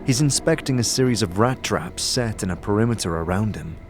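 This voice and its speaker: Guy with a low voice narrating fantasy audio drama, soft music behind him. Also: expressive, a little fast. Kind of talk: scripted second-person fantasy narration.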